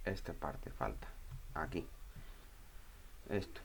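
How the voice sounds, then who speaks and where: A man presenting an informal step-by-step software tutorial, thinking aloud and often checking in with short questions. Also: a bass voice, animated, slow, creaky, slight background noise.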